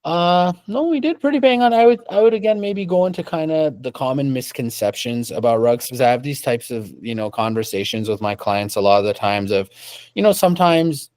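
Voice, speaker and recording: A young man from Canada talks about his trade in a relaxed, rambling way, stumbling over and repeating his words as he goes. His voice sits at an ordinary pitch and is recorded dry, with no room sound. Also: fairly quick, no echo. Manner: hesitant, repeats words, restarts. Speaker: rug dealer guest. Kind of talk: casual interview answers. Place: his rug shop, at his desk.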